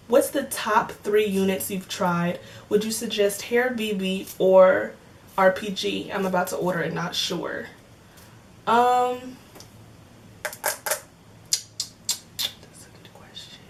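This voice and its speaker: A woman's voice: medium pitch, distant mic. A woman of twenty in casual chat, answering viewer comments in a joking, slangy way and laughing often. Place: home filming setup with cameras and lights.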